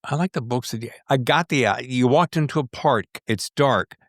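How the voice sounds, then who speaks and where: A man hosting a casual interview, talking in an excitable, jokey, digressive way as a fellow writer. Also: medium pitch, moderate pace, no echo, studio quality. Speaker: podcast host and writer.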